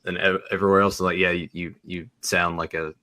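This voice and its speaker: A man's voice: low voice, level tone, fast, pushed and bright. A man with a Southern American accent, co-hosting a podcast in a rambling casual chat full of restarts. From Southern United States. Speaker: podcast co-host.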